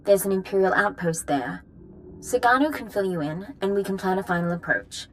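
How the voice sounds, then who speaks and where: An actress playing a brisk, businesslike female military officer in a video game, crisp and matter-of-fact. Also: medium pitch, soft background music, natural voice, low-quality recording.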